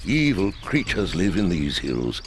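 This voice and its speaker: A man voicing a grave, wise old mentor in a fantasy game, solemn and gravelly. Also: low voice, huge pitch swings, measured pace, background noise, heavily acted. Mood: grave, instructive.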